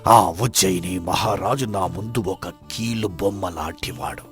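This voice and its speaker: A man plays a scheming, villainous fort lord in a folk tale. He speaks in a very deep voice with a haughty, commanding manner. Light music sits underneath. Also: a little slow. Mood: gloating, scheming. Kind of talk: Telugu audio folk tale acting.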